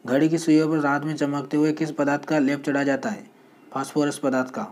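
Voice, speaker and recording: A man presenting a general knowledge quiz, reading each question and its answer in a steady voice with short restarts. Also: fairly high pitch, brisk.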